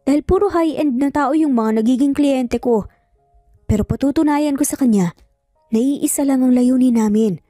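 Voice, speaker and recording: A woman's voice: fairly high pitch, mellow, no echo, clean studio sound, natural voice. A woman voicing an earnest, determined lovelorn male lawyer in an audio story, speaking emphatically and idealistically.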